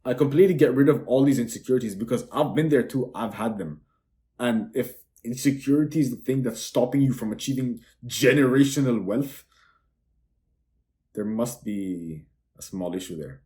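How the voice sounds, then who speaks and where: A young man from Dubai, a native Arabic speaker, pitches his online course straight to camera. He sounds brash and self-assured and keeps repeating words to hammer his points home. His voice has a mellow, darker tone. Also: normal speed. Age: young adult. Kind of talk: boastful sales pitch to camera.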